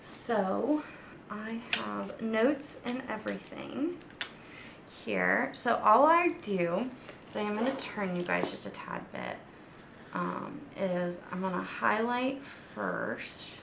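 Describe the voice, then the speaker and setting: Slow, gravelly-voiced woman with a cold. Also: medium pitch, big pitch swings, reverberant, background noise. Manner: unhurried, many ums and restarts. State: congested from a cold, sniffling. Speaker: crafting channel host. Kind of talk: casual show-and-tell vlog.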